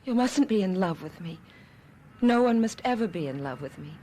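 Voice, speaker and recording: A woman's voice: mid-range voice, expressive, normal speed, mellow, slight background noise, close to an everyday voice. An actress playing a mysterious, guarded young woman with a fatalistic manner in a radio drama. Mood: anguished, foreboding. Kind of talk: 1970s radio drama acting.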